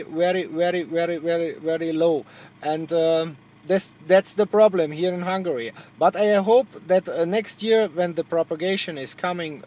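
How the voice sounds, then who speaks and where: A man from Germany with a German accent, chatting as a radio operator in halting, repetitive English, searching for words. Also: a little high, a little mellow. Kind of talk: casual radio contact chat.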